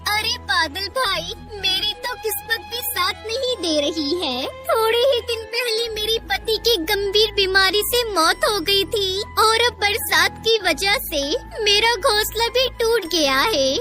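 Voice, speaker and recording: A woman's voice: very high-pitched, animated, takes it slowly, few pauses, over music, heavily acted. A woman voicing a small female bird, humble and earnest, in a children's moral cartoon. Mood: sorrowful. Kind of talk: Hindi moral cartoon dialogue.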